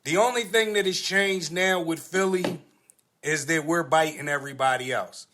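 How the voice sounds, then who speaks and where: A male podcast host speaks in a higher-than-average, sharp and forceful voice. He argues casually and in slang, repeating phrases to press his point. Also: moderate pace, slight background noise. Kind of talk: casual panel discussion.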